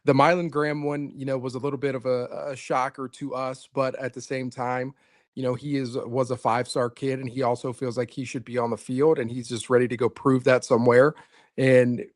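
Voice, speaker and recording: A man's voice: medium pitch, level tone, fairly quick, no room sound, clean studio sound. A man co-hosting a casual sports podcast, talking earnestly and rambling, often restarting his sentences. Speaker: co-host, coach.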